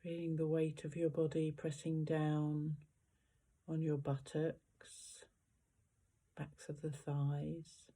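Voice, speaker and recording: A meditation guide giving gentle, unhurried guided instructions with long pauses between phrases. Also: medium pitch, monotone.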